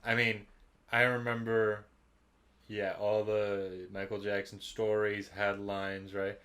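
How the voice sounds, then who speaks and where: Young adult male co-host, sharp lowish voice, casual joking chat. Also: little pitch movement, slow. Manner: joking, informal. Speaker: podcast co-host.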